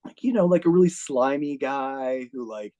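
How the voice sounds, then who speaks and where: An American man, a retired army officer, telling stories as an interview guest in a chatty, laid-back way, full of "like" and quick laughs. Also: medium pitch, expressive, warm tone. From United States. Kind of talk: casual storytelling.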